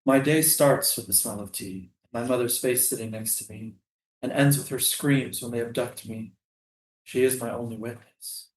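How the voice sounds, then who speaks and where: A man acts a poetic prisoner in a stage drama. He speaks in a mid-range voice with deliberate, lyrical phrasing and quiet defiance. The room is strongly echoey around him. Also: medium pitch, lightly acted. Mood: sorrowful. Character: male prisoner Jamil, dreamy, defiant, poetic. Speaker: actor in a staged reading. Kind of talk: theatrical staged reading.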